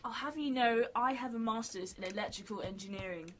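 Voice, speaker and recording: A woman's voice: fairly high pitch, fairly quick, fairly bright tone, slight background noise, lightly acted. A woman playing a practical, wry survivor in an amateur radio drama, dry and matter-of-fact.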